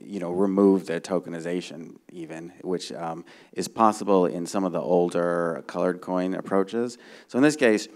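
A middle-aged man with a low voice and a noticeable fry. He explains technical points informally as a panelist, checking that listeners follow. He speaks into a handheld microphone in a conference room. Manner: explanatory, checks understanding with "right?". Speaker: conference panelist, software developer. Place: conference room stage before a seated audience.

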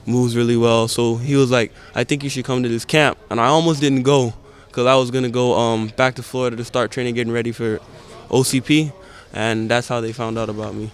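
A young man from the Bahamas talks through his basketball journey in a composed, even-keeled way. His voice is smooth and clean, and his pitch stays flat. He is picked up close on a reporter's handheld mic. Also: brisk. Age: teens. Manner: calm, even-tempered answers. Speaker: basketball prospect. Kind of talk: post-game press interview. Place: indoor camp media backdrop. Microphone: handheld reporter mic held close.

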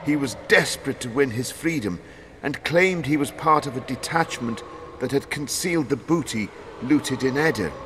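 A shaken former soldier, played by a male actor, with a mid-pitched man's voice. He is nervous and haunted by guilt, halting as he speaks in a dark fantasy game. There is clear background noise. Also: animated, close to an everyday voice. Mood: haunted confessing. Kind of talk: video game voice acting.

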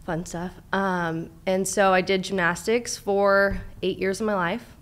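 A woman's voice: mid-range voice, steady tone, unhurried. A young woman from Southern California, a therapist as guest, telling her life story openly and chattily, with many fillers, into a studio mic. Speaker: clinical director, therapist, guest. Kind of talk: casual interview, personal story. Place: studio with brick wall. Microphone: studio mic on boom arm, headphones.

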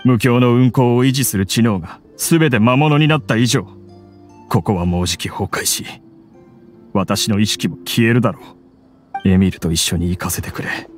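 A man's voice: fairly low pitch, moderate pace, lightly acted. A man voicing a proud, grieving scholar in an anime game, with bitter, theatrical delivery. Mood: bitter despair. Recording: music under the voice.

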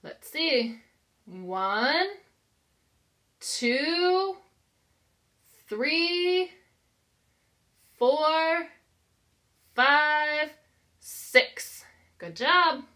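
A woman's voice: sits high, expressive, very slow, long pauses, bright, forceful tone. An American woman in her thirties, a preschool teacher reading aloud to young children with simple, encouraging phrasing and questions. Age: 30s. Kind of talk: interactive read-aloud lesson for young children.

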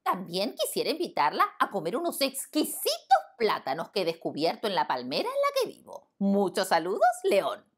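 Cheerful storybook monkey, squeaky high, played by a woman. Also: huge pitch swings, moderate pace, few pauses. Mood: proud. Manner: squeaky and bouncy. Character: chatty male monkey, proud and cheerful.

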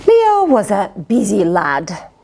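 A middle-aged woman reading a picture book aloud to children in an expressive, dramatic way, with playful asides. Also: mid-range voice, slow, vocal fry, background noise. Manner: expressive, dramatic, with gasps, sighs and questions to the listener. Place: indoors, small fabric tent with cushions. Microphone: lapel.

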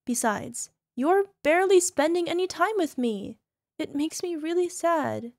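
A woman voicing a possessive young yandere wife who nurses her sick husband, sweetly coaxing with a quiet edge of menace. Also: very high-pitched, animated, a little slow, dry recording, clean studio sound, full character voice. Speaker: voice actress. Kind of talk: ASMR roleplay audio drama.

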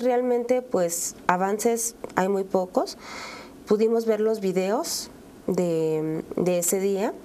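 Mexican woman, thirties, interview guest. Mid-pitched, mellow, explanatory, conversational; slight background noise. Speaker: interview guest, relative of a victim. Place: dark TV studio, round table. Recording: clear background room noise.

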